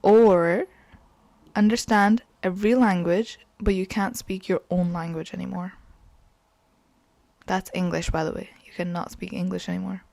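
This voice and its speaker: A woman's voice: lowish voice, normal speed, vocal fry, no room sound. A young woman, a podcast co-host whose first language is Urdu, chatting casually and laughing often. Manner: chatty, giggly, animated. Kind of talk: casual chat.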